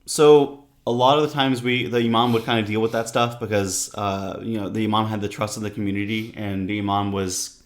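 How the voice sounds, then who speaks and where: A man's voice: lowish voice, fairly even intonation. A man who is a guest on a podcast, telling his own story in a casual conversation and often restarting his sentences. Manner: halting, with many restarts and "if you will".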